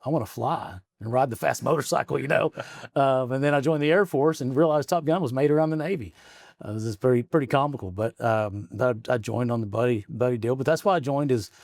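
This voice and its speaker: A man in his forties from Arkansas chats as an interview guest in a capitol office, his voice at an ordinary middle pitch. He rambles, restarts his stories and chuckles often. The sound is close and dry, with no room echo. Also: brisk, creaky, a little mellow. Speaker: guest, state representative. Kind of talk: casual interview conversation.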